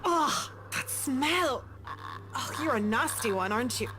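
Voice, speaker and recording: Slow, high-pitched frightened young woman. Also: animated. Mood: disgusted, wary. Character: frightened young woman exploring dark underground tunnels. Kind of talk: visual novel game voice acting.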